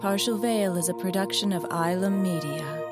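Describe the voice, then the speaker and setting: A woman reading scripted closing credits as an announcer, measured and formal. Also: on the low side. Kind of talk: scripted narration. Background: loud new-age music.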